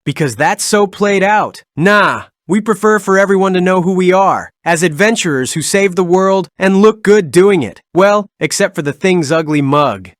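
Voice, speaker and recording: A man's voice: high-pitched, dry recording, studio quality, natural voice. A man playing a cocky young fire-powered superhero in fan-made game dialogue, breezy, boastful and slangy.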